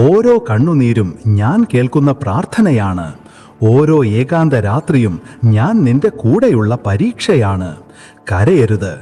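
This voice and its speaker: A man voicing a serene, husky divine teacher. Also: medium pitch. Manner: slow, soothing, repeated gentle commands. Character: serene divine male teacher consoling a grieving devotee. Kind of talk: devotional scripted monologue. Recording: long hall reverb with soft music.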